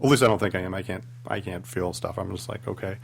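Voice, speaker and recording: A man's voice: sits low, fairly even intonation, quick pace, vocal fry, a little hiss or hum. A man on a paranormal investigation team, a radio show guest, speaking hesitantly and candidly with many "um"s. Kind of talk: casual radio interview.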